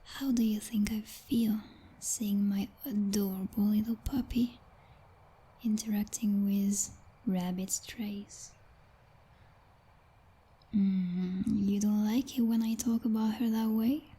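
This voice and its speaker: A woman's voice: medium pitch, fairly even intonation, takes it slowly, long pauses, mellow, slightly rough, poor audio. A woman playing a jealous, possessive vampire girlfriend in an ASMR roleplay, whispering breathily in a teasing, commanding and condescending way. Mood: jealous, scornful.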